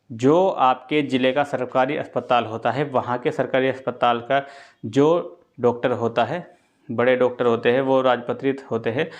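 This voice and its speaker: A man talks to students in a mid-range voice. He explains in an informal, instructive way, like a coaching presenter. He repeats key points and often checks in with his listeners. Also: medium pitch.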